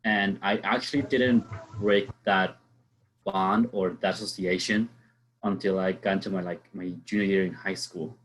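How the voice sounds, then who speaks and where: Panelist, man from Mexico, very deep voice, earnest, halting. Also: fairly even intonation, poor audio. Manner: earnest, halting with many "uh" fillers. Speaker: student panelist.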